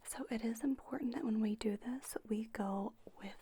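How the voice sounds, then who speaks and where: A woman whispering breathily in an ASMR spa roleplay, gently giving reassuring step-by-step instructions. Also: a little high, moderate pace, dry recording. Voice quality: breathy, mostly unvoiced whisper. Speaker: ASMR creator playing a spa owner teaching facials.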